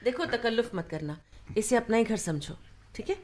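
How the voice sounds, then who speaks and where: A woman's voice: a little high, animated, normal speed, slight background noise, close to an everyday voice. An actress in a Hindi radio play voicing an affectionate, hospitable elder sister who fusses over her guest and teases him familiarly. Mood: affectionate, insistent.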